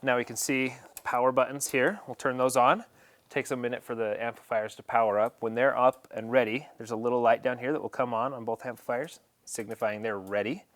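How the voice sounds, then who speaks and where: A man's voice: medium pitch, steady tone, brisk, a bit bright, no echo. A man presenting an instructional equipment setup tutorial, explaining each step in a plain, practical way.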